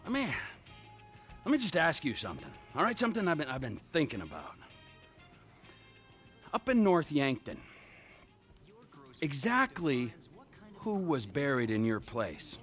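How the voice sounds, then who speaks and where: Game villain type: middle-aged unhinged criminal, high gravelly voice swinging wildly, bitter and menacing. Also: male voice, huge pitch swings, a little slow, long pauses, fairly bright tone, soft background music, full character voice. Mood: bitter, suspicious. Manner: ranting, profane, intense. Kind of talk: dramatic video game cutscene acting.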